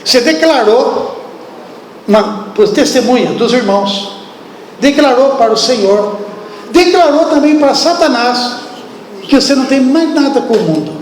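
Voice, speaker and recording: A man preaching a sermon with a Brazilian accent, lively and talking straight to the congregation, asking them questions. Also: very high voice, normal speed, long pauses, slight background noise. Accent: Brazilian Portuguese. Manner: animated, acts out biblical speech, asks the congregation questions. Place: church hall. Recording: echoey hall, distant room.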